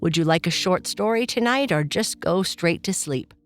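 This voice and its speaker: A woman voicing a gentle, patient and loving father bear in a children's bedtime story, reassuring and playful. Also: on the low side, animated, normal speed, faint music behind, lightly acted. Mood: tender.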